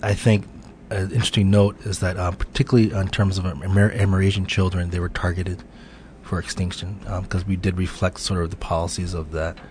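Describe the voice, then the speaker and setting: Middle-aged man, deep monotone, hesitant thoughtful interviewee, slight background noise. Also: a little fast. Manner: thoughtful, hesitant, many ums. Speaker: guest. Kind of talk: radio interview.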